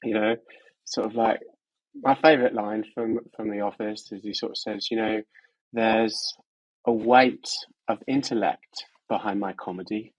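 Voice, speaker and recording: A man's voice: on the low side, fairly even intonation, normal speed, husky, no echo, lo-fi sound. A man doing a jokey put-on English accent as a cheeky bloke, full of slang. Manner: slips in British slang, laughs between lines. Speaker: podcast guest. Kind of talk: playful comic impression.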